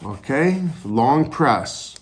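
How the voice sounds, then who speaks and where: A man's voice: medium pitch, sing-song, drawn-out words, background noise. A man giving a casual product demonstration for a child, explaining things simply, step by step. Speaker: parent demonstrating a toy for a family channel. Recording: echoey room with loud music bed. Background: music.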